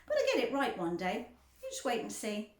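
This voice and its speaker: A woman voicing an eccentric male chocolate maker, fussy and quickly exasperated, in a storybook reading. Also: a little high, wildly swooping pitch. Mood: resigned. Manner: fussy, quickly exasperated, then wistfully resigned. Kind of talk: storybook read-aloud. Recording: echoey room.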